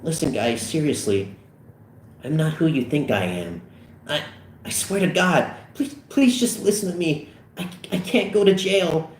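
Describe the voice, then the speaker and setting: Casting: man as pleading, stammering teenage boy for a comedy script, high and excitable, in a roomy space. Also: measured pace, slight background noise. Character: panicky pleading seventeen-year-old boy.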